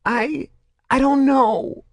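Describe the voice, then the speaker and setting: A man voicing a terrified, crippled male alien mercenary who begs in a high-pitched mewling whine and stammers in desperation. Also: fairly even intonation, very slow, no room sound, heavily acted. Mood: fearful.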